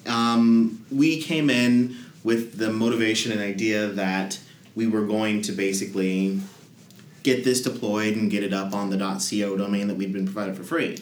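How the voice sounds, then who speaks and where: A man's voice: fairly low pitch, steady tone, normal speed, echoey room. A man, a guest, telling at length and with enthusiasm about his team's hackathon project in a casual podcast chat. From Denver area.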